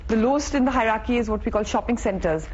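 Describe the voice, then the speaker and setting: A woman's voice: on the high side, fast talker, darkish tone, husky. A woman with an Indian accent answering interview questions as a business executive, in a considered way that repeats phrases for emphasis. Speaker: real estate executive being interviewed. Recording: dull sound with faint background noise.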